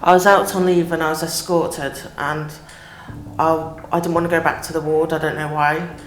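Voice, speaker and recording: A woman's voice: low voice, flat delivery, normal speed. A woman casually recalling her experience as an interviewee, recorded in an echoey room. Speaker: mental health service user interviewee. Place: plain indoor room. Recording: echoey room, faint noise.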